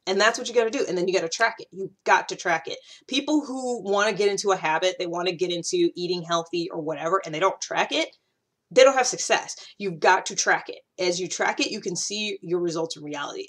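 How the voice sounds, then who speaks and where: American woman, thirties, slightly low pitch, casual vlog talk, conversational, emphatic repetition. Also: brisk, fairly bright tone. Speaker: YouTube host sharing self-growth advice. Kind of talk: casual direct-to-camera talk.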